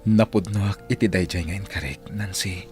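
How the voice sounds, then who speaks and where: A man's voice: low voice, normal speed, a little mellow, soft background music, close to an everyday voice. A man with a Filipino accent playing an earnest, sentimental young husband in an audio drama. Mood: tender, sincere.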